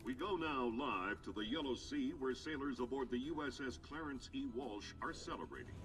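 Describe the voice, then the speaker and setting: A man's voice: medium pitch, animated, normal speed, a bit bright, faint music behind, lightly acted. A man playing a polished, formal television news anchor in a video game. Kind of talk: broadcast news acted in game.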